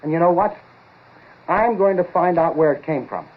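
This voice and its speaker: Tinny old radio scientist, highish husky voice, earnest and insistent, 1940s drama. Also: male voice, animated, normal speed. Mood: earnest excitement. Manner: urgent, insistent. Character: earnest, excitable male lab researcher, unnerved and insistent. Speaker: supporting actor. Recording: tinny, muffled-detail 1940s radio recording.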